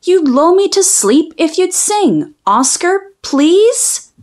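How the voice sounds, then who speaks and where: High-voiced woman reading a children's storybook. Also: big pitch swings, takes it slowly, darkish tone. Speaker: host reading children's books aloud. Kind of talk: expressive children's storybook read-aloud.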